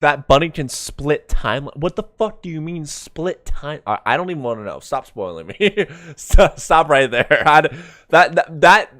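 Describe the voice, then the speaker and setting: A man doing casual live reaction commentary as a streamer, excitable, joking and prone to swearing. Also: a little high, unhurried, hardly any pauses, no echo. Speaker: streamer reacting to a fan motion comic.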